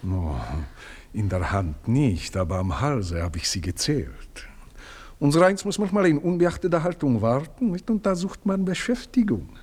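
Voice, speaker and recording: A man's voice: a little high, very animated, moderate pace, darkish tone. An actor playing a meddling, servile male house servant who speaks in long-winded, fawning phrases. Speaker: servant Theodor. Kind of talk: radio play acting.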